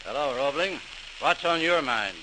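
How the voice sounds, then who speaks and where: A man's voice: medium pitch, lively intonation, normal speed, lightly acted. An actor playing a skeptical, brusque male official in old-time radio drama, speaking bluntly and dismissively on a noisy old recording. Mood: dismissive, exasperated. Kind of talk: 1940s radio drama acting.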